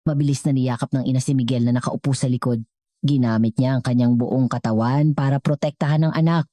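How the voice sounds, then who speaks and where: A woman with a low, mellow voice, reading a scripted dramatic story as narrator. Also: fairly even intonation, brisk, no room sound, studio quality.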